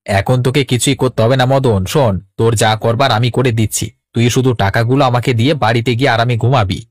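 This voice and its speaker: A man's voice: mid-range voice, steady tone, fairly quick, close to an everyday voice. A man voicing a boastful, wily village swindler with a cocky, coaxing manner in a cartoon. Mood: sly coaxing. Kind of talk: Bengali cartoon voice acting.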